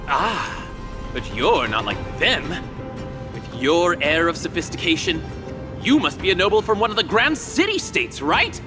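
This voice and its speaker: A man's voice: fairly high pitch, big pitch swings, measured pace, music in the background. An actor playing a boisterous, fawning weapons merchant with showy salesman patter in a video game. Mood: flattering.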